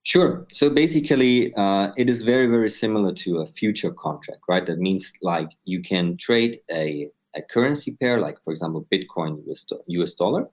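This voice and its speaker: Deep-voiced Austrian man in his twenties. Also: animated, moderate pace, few pauses. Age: 20s. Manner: explains step by step, often adds "right?". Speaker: guest, crypto company co-founder. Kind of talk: explanatory interview answers.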